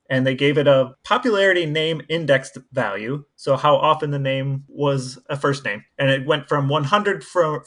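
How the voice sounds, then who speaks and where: A man's voice: medium pitch, a bit bright. A man co-hosting a podcast in casual chat, explaining things in a wry, talkative way. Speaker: podcast co-host. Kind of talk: casual chat, recounting odd research.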